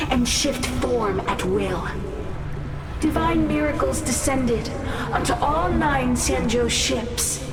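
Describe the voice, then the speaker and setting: An actress voicing a zealous, proud cult leader and alchemist in a video game, solemn and grandiose, echoing under loud music. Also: female voice, a little high. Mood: fervent lament. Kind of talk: scripted video game drama acting.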